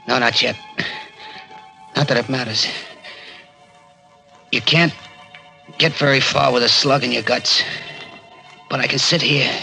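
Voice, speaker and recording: A man's voice: mid-range voice, vocal fry, pushed and bright, heavily acted, low-quality recording. An actor playing a cornered, blustering holdup man with tough gangster slang, in the style of 1940s radio crime drama. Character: cornered small-time male holdup man, blustering and nervy. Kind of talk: 1940s radio acting, first-person crime narration. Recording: vintage 1940s radio recording, faint music.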